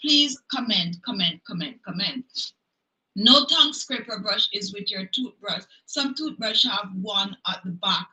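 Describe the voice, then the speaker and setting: A middle-aged woman with a Jamaican accent hosts a casual, chatty livestream. Her voice is very bright and forceful, at an ordinary pitch for a woman. The room she speaks in is clearly echoey. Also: lively intonation, measured pace. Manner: chatty, repeats words for emphasis. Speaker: beauty tips host. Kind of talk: casual livestream chat, answering viewer comments.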